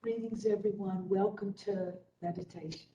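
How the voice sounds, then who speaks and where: A woman instructor leading a guided meditation in a soothing way, with long pauses between short phrases. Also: medium pitch, steady tone, dark tone, highs cut off. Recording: roomy echo, distant from the microphone.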